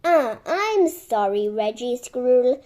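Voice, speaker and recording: Storybook role: shrewd, polite male ferret, read by a woman, high-voiced, very unhurried, good-humoured. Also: very animated, dark tone. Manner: polite, measured storybook dialogue.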